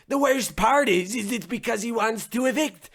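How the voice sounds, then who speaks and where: A man's voice: very high voice, normal speed, a bit bright, exaggerated voice. A man playing a gossipy, worrying tenement neighbour, wordy and mock-dramatic in an old-time radio comedy parody. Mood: worried.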